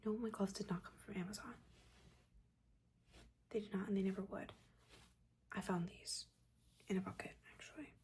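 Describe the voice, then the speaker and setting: A woman voicing a judgmental but caring witch mentor in a breathy, chatty, chiding whisper, in a soft-spoken ASMR roleplay. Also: medium pitch, fairly even intonation, moderate pace, long pauses, lightly acted. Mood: defensive, scolding. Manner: chatty, chiding, casual slangy asides.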